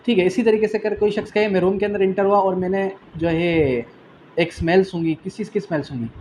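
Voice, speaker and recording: Higher-voiced Pakistani man teaching law. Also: fairly quick, a little mellow, a little hiss or hum. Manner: didactic, asks and answers own questions, repeats key phrases; switches into English for key terms. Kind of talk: exam revision lecture.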